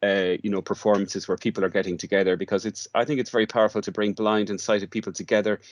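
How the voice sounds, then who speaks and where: Low-voiced, halting Irish man. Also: flat delivery, no room sound. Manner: hesitant, halting delivery with repeated words. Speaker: guest, radio drama workshop artist. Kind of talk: conversational interview.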